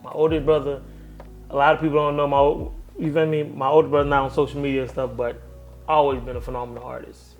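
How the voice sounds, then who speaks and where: A relaxed man with a Haitian-influenced accent chats as an interview guest, slipping in street slang and laughing easily. His voice sits a bit high for a man. Faint music plays underneath. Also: quick pace, dull audio. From second-generation Haitian family. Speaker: tattoo artist, guest. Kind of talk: casual interview talk.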